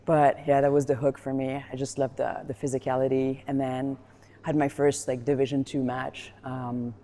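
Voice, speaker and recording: A woman's voice: a bass voice, normal speed. A woman from Colombia, a former rugby player, chatting casually during a gym workout, telling stories with lots of laughter. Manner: animated storytelling, laughs often. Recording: echoey gym with long reverb.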